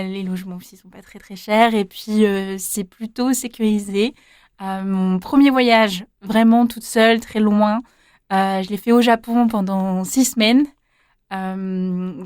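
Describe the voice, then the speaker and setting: A woman's voice: medium pitch, steady tone, slow, a little mellow, smooth, no echo, clean studio sound. A young woman answering interview questions in a casual, hesitant way, with many fillers and frequent laughs. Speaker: interviewee, volunteer abroad.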